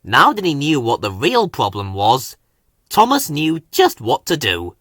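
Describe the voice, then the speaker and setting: A man narrating a children's story in an expressive read-aloud style. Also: medium pitch, a little slow, no echo, studio quality.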